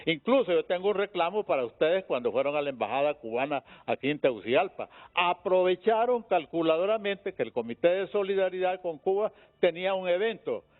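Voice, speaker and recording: A man with a Honduran accent argues in a heated debate. His voice sits a bit high, and he leans on rhetorical questions with halting repeats. The audio is muffled. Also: lively intonation. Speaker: debate guest.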